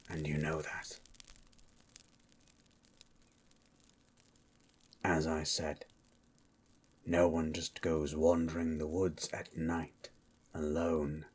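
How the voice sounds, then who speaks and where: A man playing a gruff, suspicious monster hunter in an ASMR roleplay, with a guarded, dry manner. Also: heavily acted. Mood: wary, dry. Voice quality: low, gravelly-husky.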